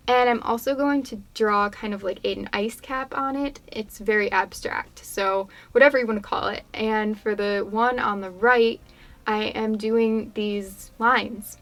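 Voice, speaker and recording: Brief: young woman, stuffed-up and slightly worn voice, casual even-toned craft-vlog voiceover, rambling chatter. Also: medium pitch. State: congested, voice fading. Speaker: craft YouTuber. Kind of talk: casual voiceover chat over a painting demo.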